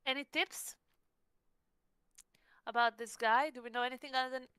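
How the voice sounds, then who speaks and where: A woman's voice: high-pitched, steady tone, normal speed, sharp tone, clear, resonant tone, no room sound. A woman playing a tabletop roleplaying game, chatting casually and putting questions to the group.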